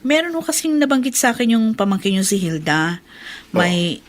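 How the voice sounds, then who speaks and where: A courteous wife, played by an actress, asks discreetly and politely. Her voice sits at medium pitch, probing gently in radio-drama style. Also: big pitch swings, normal speed, natural voice. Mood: discreet curiosity. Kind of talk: Filipino radio drama acting.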